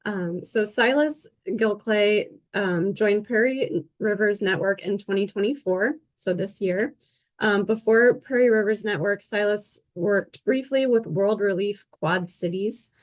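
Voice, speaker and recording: A woman's voice: mid-range voice, unhurried. A woman moderating a panel, reading out speaker introductions in a friendly way with frequent "um" fillers.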